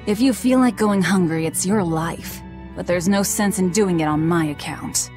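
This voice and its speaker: A woman's voice: fairly low pitch, moderate pace, a little mellow, faint music behind, close to an everyday voice. A woman playing a blunt, driven young female fighter in a video game, curt and stern. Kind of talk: English game voice acting.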